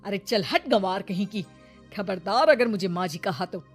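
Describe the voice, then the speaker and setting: A woman voicing a haughty, scolding modern middle-aged mother-in-law in an animated story, sprinkling in English words. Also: mid-range voice, normal speed, husky, soft background music. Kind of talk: animated moral story acting.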